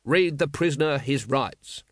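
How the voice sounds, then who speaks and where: A man's voice: medium pitch, unhurried, dry recording, clean studio sound. A man as a curt, commanding police captain. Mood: calm, curt. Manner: terse, calm authority. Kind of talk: audiobook character acting.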